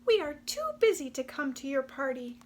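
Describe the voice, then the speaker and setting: A woman voicing a chorus of busy little mice, hurried and squeaky high. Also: big pitch swings, slight background noise.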